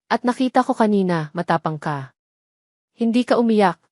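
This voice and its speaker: A woman voicing a serious, protective male police officer with a firm, measured manner in an audio drama. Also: mid-range voice, animated, a little mellow, no echo, clean studio sound, natural voice. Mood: gentle, encouraging.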